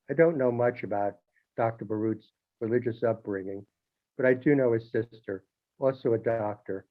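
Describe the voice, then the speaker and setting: A man who writes a newspaper column, speaking informally to the audience with restarts, self-corrections and wry jokes. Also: medium pitch. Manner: conversational, with restarts and wry asides. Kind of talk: informal monologue. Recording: phone-like narrow band.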